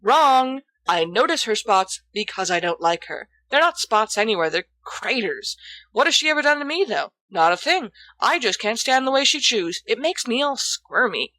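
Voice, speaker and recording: A woman's voice: on the high side, very animated, moderate pace, pushed and bright, dry recording, voice pushed up, poor audio. A woman voicing a brash, teasing teenage boy in a theatrical, mocking way, as in an audiobook. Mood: exasperated, dramatic. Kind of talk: expressive audiobook character dialogue.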